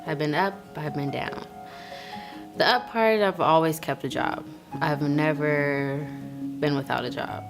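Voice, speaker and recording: A woman of twenty-six giving an informal, halting personal testimony, often repeating her phrases. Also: sits low. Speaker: client of a charity, young mother. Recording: music playing behind the voice. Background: instrumental music.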